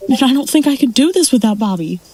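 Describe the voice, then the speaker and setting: A woman's voice: high-pitched, animated, fast talker, darkish tone, breathy edge. Woman as a downcast, grieving rancher. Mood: grieving, defeated. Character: Klaus, downcast, grieving car-wash rancher. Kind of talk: audio drama acting. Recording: live-streamed audio play with music bed.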